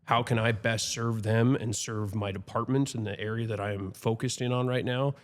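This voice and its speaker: A man's voice: mid-range voice, steady tone, moderate pace. A man in his thirties, a firefighter, speaking reflectively with frequent restarts in a casual podcast chat. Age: 30s.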